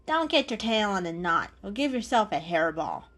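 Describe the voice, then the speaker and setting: A woman's voice: mid-range voice, big pitch swings, normal speed, a bit bright. A woman voicing a cheeky, carefree male cat with a breezy, teasing manner in an animal storybook reading. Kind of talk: children's animal story character voice.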